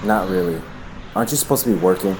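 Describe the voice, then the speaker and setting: A man's voice: deep voice, fast, darkish tone, a little hiss or hum, lightly acted, poor audio. A man acting in an audio drama as a worried, earnest young boyfriend who pleads and lectures. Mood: concerned.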